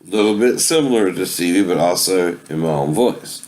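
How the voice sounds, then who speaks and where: A man's voice: sits low, expressive, brisk, darkish tone, rough recording. A man giving a casual, explanatory demonstration, often checking in with "right?" at the ends of phrases. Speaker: amateur video demonstrator showing a tape deck. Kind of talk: casual instructional talk with sung impersonations.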